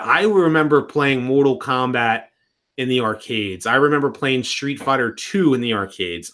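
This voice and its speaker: A man's voice: medium pitch, fairly bright tone. A man in his thirties chatting casually on a live stream from home, rambling and often restarting his sentences. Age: 30s.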